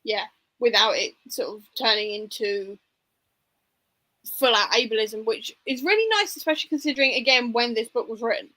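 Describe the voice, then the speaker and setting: Bright, higher-voiced woman chatting about books. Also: normal speed, rough recording. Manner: informal and opinionated, hedging with "like" and "sort of". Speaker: book discussion livestream host. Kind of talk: casual book discussion chat.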